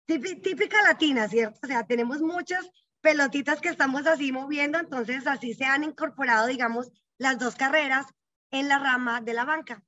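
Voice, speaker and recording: A woman's voice: sits high, a little fast, pushed and bright, dry recording. A middle-aged woman, a psychologist and banking executive, telling her life story as an interview guest in an earnest, reflective way, often checking in with short tag questions. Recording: dull, dry, slightly lo-fi.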